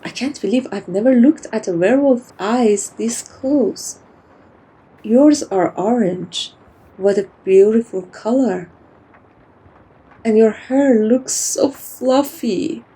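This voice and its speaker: A woman playing a kind, dominant vampire in a soft-spoken ASMR roleplay, teasing and doting as if talking to a pet. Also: a little high, slow, husky, low-quality recording. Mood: gentle, commanding.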